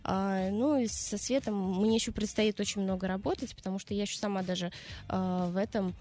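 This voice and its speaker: A woman's voice: medium pitch, steady tone, a little fast, dark tone. A young woman from Russia, a guest on a radio morning show, answering questions in a casual, hesitant way with many fillers and restarts.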